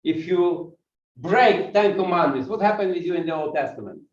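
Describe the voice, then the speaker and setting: A man with a Ukrainian accent preaching and pacing as he talks, firing questions at the people in front of him. His voice sits fairly high and sounds dull and distant. It echoes around a church. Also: expressive, normal speed. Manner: walks while preaching, asks the congregation questions. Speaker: guest pastor. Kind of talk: sermon with audience questions. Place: church hall.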